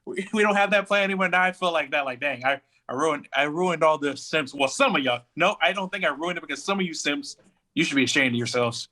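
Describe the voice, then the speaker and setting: Sharp, bright male podcast co-host. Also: on the high side, fast. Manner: emphatic, conversational, colloquial. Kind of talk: casual anime discussion.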